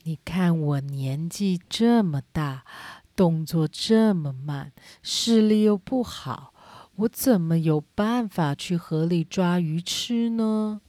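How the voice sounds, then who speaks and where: Slow old witch woman, put on by a storyteller. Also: lowish voice, mellow, no echo. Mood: plaintive. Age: older. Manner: slow, drawn-out delivery. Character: elderly candy-house witch, slow, frail, aggrieved.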